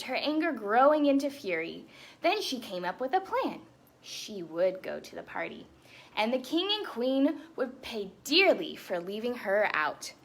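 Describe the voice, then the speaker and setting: A woman reading a story aloud to children as a storytime host, expressive and breaking into chatty asides. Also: medium pitch. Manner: animated, with chatty asides to young listeners.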